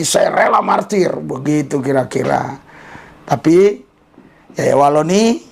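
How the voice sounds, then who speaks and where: A man's voice: fairly high pitch, gravelly. A man gives casual commentary with a preaching bent, mocking and repeating himself for emphasis. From Indonesia.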